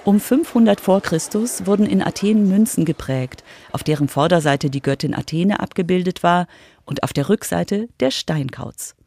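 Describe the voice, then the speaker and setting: A woman's voice: on the low side, expressive, moderate pace, dark tone. A woman reading scripted narration as the reporter of a children's radio feature.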